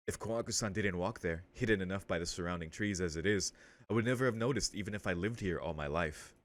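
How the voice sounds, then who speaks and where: A man's voice: low voice, fairly bright tone, no echo. A man hosting a let's play, reading visual novel text aloud and breaking in with casual, slangy commentary. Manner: interjects with casual slang and swearing.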